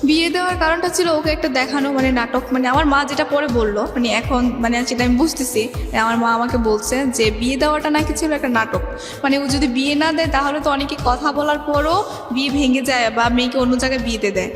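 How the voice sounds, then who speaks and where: A woman's voice: sits high, steady tone, fairly quick. A young woman with a Bangladeshi accent telling her life story as an interview guest in rambling, colloquial speech. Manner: rambling, colloquial, often recounts reported speech. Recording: echoey reverberant room, music underneath.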